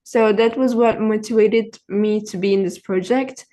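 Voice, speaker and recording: A sixteen-year-old girl from Turkey sharing her views in a soft-spoken, earnest way. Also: medium pitch, fairly even intonation, moderate pace. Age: teens. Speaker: student presenter.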